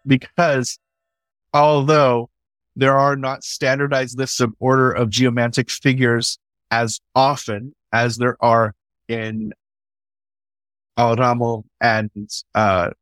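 Excitable guy in a podcast chat, swears a lot, trips over his words, dry close sound. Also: medium pitch, takes it slowly, no room sound, rough recording. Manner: enthusiastic, swears casually, many restarts. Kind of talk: conversational podcast discussion.

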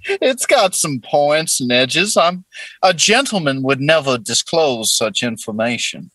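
A man voicing a lewd, old-fashioned Southern gentleman with a florid, courtly drawl in an improvised comedy role-play. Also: fairly high pitch, expressive, measured pace, dry recording. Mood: courtly, suggestive.

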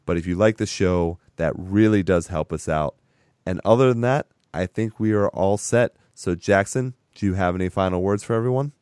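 Man, podcast host, hoarse, raspy, fairly low voice, chatty, dry recording. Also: normal speed, darkish tone, no room sound. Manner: chatty, rambling, laughs often. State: hoarse, voice strained from sickness. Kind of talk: casual podcast chat.

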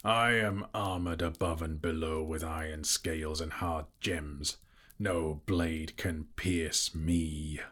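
Man voicing a huge deep husky old dragon. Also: fairly even intonation, a bit bright, exaggerated voice. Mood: snapping. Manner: slow, gloating, grandiose. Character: huge old boastful dragon, proud and menacing. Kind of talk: audiobook character voice.

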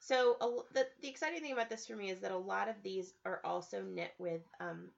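A woman's voice: medium pitch, brisk, gravelly. A woman hosting a podcast and chatting casually, rambling a little with frequent "um"s while she fights a cold. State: has a cold. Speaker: podcast host. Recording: slightly dull lo-fi recording.

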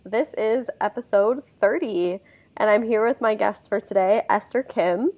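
A woman hosting an interview podcast, slightly hoarse from a cold, friendly and upbeat with fillers. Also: mid-range voice, unhurried, a little mellow. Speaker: podcast host. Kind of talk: casual interview.

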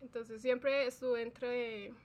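A woman chatting as a guest scientist, lively and quick to laugh. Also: a little high. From Colombia. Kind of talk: relaxed interview chat.

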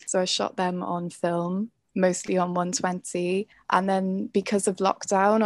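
Young woman from wales, pretty flat even voice, lots of "like" and "i think", dry close sound. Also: mid-range voice, no room sound. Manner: thoughtful, hedging, frequent "like" and "I think". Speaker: photographic artist, guest. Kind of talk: conversational interview.

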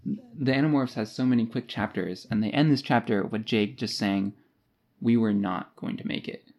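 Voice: medium pitch, moderate pace, dull audio. A podcast co-host chatting casually about books, retelling scenes in informal, slangy language. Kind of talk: casual book discussion.

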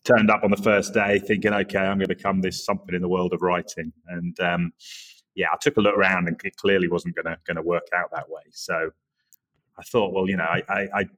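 An Englishman in his forties from the Norwich area, chatting as an interview guest in a relaxed, rambling way with many restarts. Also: low-pitched, a little fast. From England, Norwich area. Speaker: guest, creative director. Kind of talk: casual interview chat.

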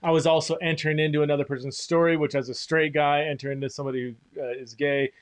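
A man's voice: fairly high pitch, level tone, fast talker, clear, resonant tone. Chatty, enthusiastic male host. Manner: enthusiastic, rambling, self-interrupting. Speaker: podcast host. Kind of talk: casual conversation. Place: home basement.

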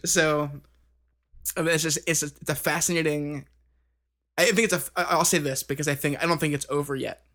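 Man, 30, fairly high pitch, casual podcast chat, rambling, filler-heavy. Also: fairly even intonation, brisk, a bit bright. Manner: rambling, with many fillers and restarts. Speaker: band member co-hosting a podcast.

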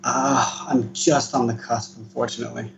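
A man's voice: medium pitch, flat delivery, moderate pace, creaky, slight background noise. Hesitant young man on a dull line. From East Coast of the United States. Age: 20s. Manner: hesitant, many uh fillers. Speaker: guest. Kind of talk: casual interview.

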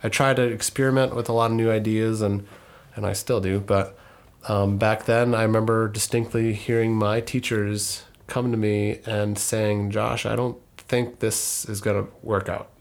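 A thirty-year-old man from northern Minnesota talks through his story on a relaxed podcast. His voice sits fairly low, and he rambles, backing up to fix details and filling pauses with ums. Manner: rambling, self-correcting with many ums. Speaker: podcast co-host. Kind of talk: casual conversational podcast.

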